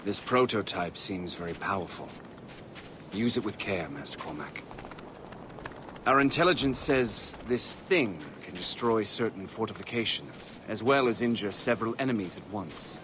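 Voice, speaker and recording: Actor as a low, measured military mentor. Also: male voice, animated, noisy background, natural voice. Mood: grave, instructive. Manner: measured, formal, instructive. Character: grave, measured military mentor giving weapon briefings. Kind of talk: video game voice acting.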